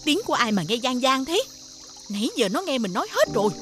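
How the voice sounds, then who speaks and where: An actress voicing an anxious, well-meaning woman in an animated fairy tale. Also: high-pitched, quick pace, faint music behind. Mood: nervous.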